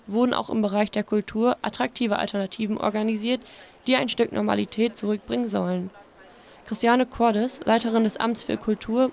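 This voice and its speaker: A woman's voice: mid-range voice, gravelly, darkish tone, a little hiss or hum. A woman reading scripted narration for a news report as its reporter.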